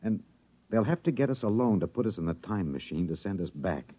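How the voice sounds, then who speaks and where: An actor playing a guarded, anxious American husband on the run in a 1950s radio drama, speaking in urgent, clipped phrases. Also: male voice, lowish voice, animated, fairly quick, dark tone, slightly rough. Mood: fearful, resigned. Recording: muffled vintage radio, music behind.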